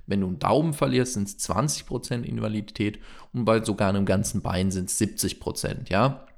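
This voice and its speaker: A man's voice: on the low side, a little fast, vocal fry. A man co-hosting a podcast, explaining things in a chatty way with many fillers and jokey asides. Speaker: podcast co-host. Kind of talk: casual explanatory chat.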